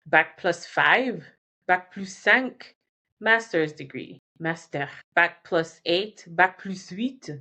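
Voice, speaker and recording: A woman's voice: lowish voice, lively intonation, slow, sharp tone. A woman from Jamaica explains things informally as an advice presenter, conversational, repeating key terms and correcting herself as she goes. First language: Jamaican Patois and English. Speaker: career and relocation advice presenter.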